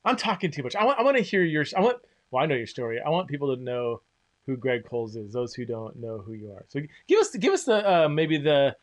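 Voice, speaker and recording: A man's voice: mid-range voice, big pitch swings, brisk. Chatty, enthusiastic male host. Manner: enthusiastic, rambling, self-interrupting. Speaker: podcast host. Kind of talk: casual conversation. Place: home basement.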